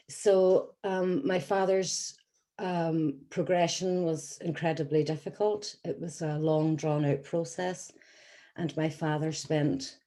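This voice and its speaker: An Irish woman in her sixties, a patient advocate telling her personal story to an audience in an earnest, measured way with frequent ums. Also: deep voice, dark tone. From Scotland, then Ireland. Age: 60s. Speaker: patient advocate giving a talk.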